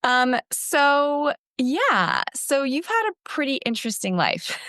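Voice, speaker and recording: A woman's voice: sits high, very animated, takes it slowly, bright, forceful tone, no echo, studio quality. A woman hosting a podcast, chatting casually with frequent ums. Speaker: podcast host.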